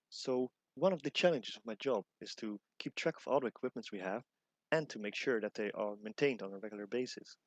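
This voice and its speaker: A matter-of-fact maintenance manager, played by a man in a short corporate skit. The voice sits fairly low and the recording is dry and close, with a measured, read-aloud pace. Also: no room sound, lightly acted. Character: male maintenance supervisor in a skit. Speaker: equipment and maintenance manager character. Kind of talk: scripted skit monologue.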